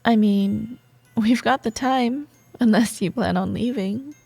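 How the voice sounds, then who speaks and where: High female voice, acted sardonic office rival, teasing, secretly sentimental, dramatic pauses, romantic ASMR roleplay, close and dry. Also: creaky, mellow, husky, no echo, faint music behind, heavily acted. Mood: grudging, playful banter.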